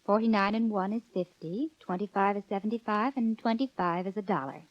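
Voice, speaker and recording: A woman's voice: mid-range voice, fairly quick, natural voice. Woman acting as polite 1940s store clerk, muffled film sound. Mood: polite, businesslike. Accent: American. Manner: polite, precise, scripted delivery. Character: polite, careful young female store counter clerk.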